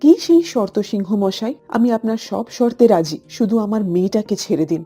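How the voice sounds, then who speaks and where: A woman voicing a kindly old mother cow in a cartoon, husky, tender and self-sacrificing. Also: mid-range voice, animated, a little fast, warm tone, faint music behind. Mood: pleading, tender. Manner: tender, pleading.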